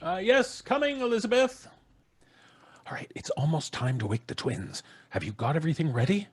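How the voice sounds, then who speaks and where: A man's voice: on the high side, lively intonation, moderate pace, breathy edge. A middle-aged man playing a flustered, bossy American father, stammering and blustery, in old-time radio drama style. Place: small home studio. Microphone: close studio microphone.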